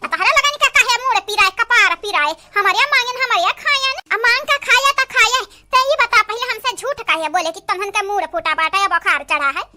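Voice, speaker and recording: Woman doing a very shrill, squeaky cartoon village woman. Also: expressive, fast, few pauses, bright, forceful tone, exaggerated voice. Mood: reproachful. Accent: eastern Uttar Pradesh. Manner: scolding, exclamatory, theatrical. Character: squabbling, melodramatic village women of a cartoon family. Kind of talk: homemade comedy cartoon dubbing.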